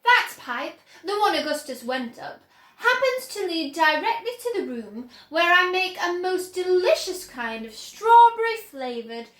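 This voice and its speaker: A woman's voice: extremely high pitch, big pitch swings, a little slow, fairly bright tone, echoey room, pitched above the performer's own voice, full character voice. A woman reading aloud, voicing an eccentric, theatrical male candy maker who talks in giddy, soothing flourishes.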